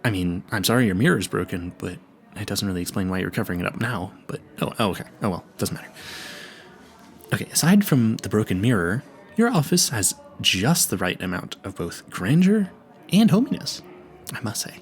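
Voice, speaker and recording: A man's voice: on the low side, very animated, a little fast. A man playing an awkward, smitten young vampire hunter in a romantic audio roleplay, stammering nervously and apologizing politely. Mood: admiring, rambling.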